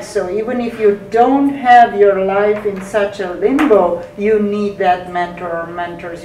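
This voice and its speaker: Slow, emphatic woman, distant and echoey. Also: mid-range voice, darkish tone. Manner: emphatic, insistent, repeats herself. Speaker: audience member. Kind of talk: spontaneous comment from the audience. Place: lecture room audience, far from mic. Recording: distant, echoey room mic with noise.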